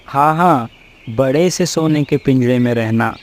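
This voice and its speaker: A man acts out a plain-spoken forest animal from a storybook cartoon. The voice is medium in pitch and earnest in delivery, over faint background noise. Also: unhurried, natural voice. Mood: agreeing.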